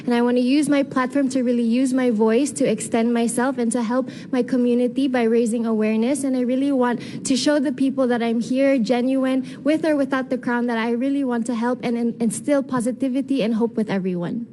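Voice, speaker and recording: A young woman with a Filipino accent answering pageant interview questions in an earnest, deliberate way, slipping in Tagalog phrases. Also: fairly high pitch, fairly even intonation, warm tone. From Philippines. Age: young adult. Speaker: beauty pageant contestant. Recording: clearly noisy room ambience.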